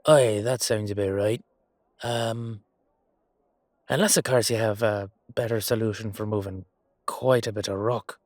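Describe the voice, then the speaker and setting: A man performs a shrewd, genial knight bargaining his way through a fantasy audio roleplay. His phrasing is old-fashioned and folksy, delivered at a measured gait, and the sound is close and dry. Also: on the low side, a little mellow, dry recording, close to an everyday voice. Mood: businesslike. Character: male knight, genial, shrewd negotiator on royal business.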